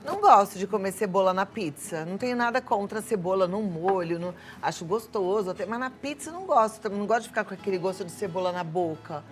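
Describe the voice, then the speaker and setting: A woman's voice: medium pitch, animated, fast talker, hardly any pauses. A middle-aged woman with a Brazilian accent, hosting a live talk show in an effusive, chatty way. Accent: Brazilian Portuguese, softened after decades in São Paulo. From Rio de Janeiro, Brazil. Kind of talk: casual live hosting, reading viewer questions.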